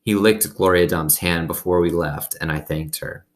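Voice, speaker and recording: Man, low pitch, storybook read-aloud host, expressive narration, conversational asides. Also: moderate pace. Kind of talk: expressive storybook reading with casual commentary.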